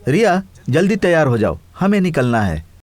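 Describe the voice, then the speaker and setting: A man's voice: fairly high pitch, wildly swooping pitch, moderate pace, darkish tone, no room sound. An actor playing a worried, cautious young man who is protective of his friend in a horror story. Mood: hurried.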